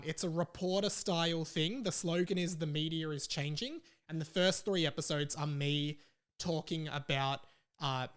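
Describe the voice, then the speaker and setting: A man's voice: on the high side, fairly bright tone. A young adult man with an Australian accent, chatting casually as a solo podcast host in an informal, self-deprecating way.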